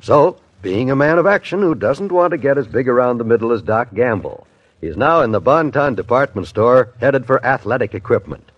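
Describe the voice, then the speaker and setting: A man's voice: medium pitch, animated, a little fast, no echo. A man with an American accent announcing and narrating a scripted show in 1950s radio style. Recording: dull old radio broadcast recording. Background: music.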